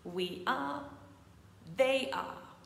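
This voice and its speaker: A woman teaching English in French, with a clear, careful delivery that slows down to model each English word. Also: high-pitched, animated. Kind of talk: scripted lesson narration. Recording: echoey room with long reverb, faint ambience.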